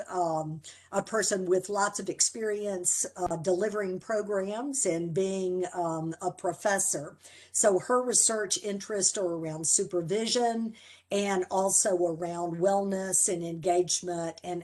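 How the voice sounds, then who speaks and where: Woman, program coordinator, formal introductions, video call audio. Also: mid-range voice. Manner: measured, formal introductions with fillers. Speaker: program coordinator hosting orientation.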